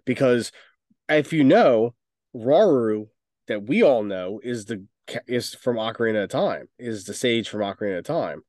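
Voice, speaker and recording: Male podcast co-host, mid-pitched and closely recorded, opinionated and loose-tongued in casual conversation. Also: lively intonation, moderate pace, a little mellow, dry recording, clean studio sound. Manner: rambling, opinionated, sweary. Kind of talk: casual chat about movies and video games.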